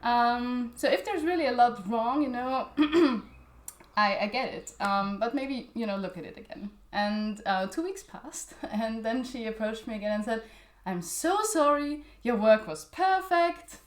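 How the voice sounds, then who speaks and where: A woman's voice: a little high, animated, unhurried, reverberant. A young woman from Austria whose first language is German, a guest in an interview, talking with many fillers and restarts and laughing often. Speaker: interview guest, journal founder. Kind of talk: conversational interview.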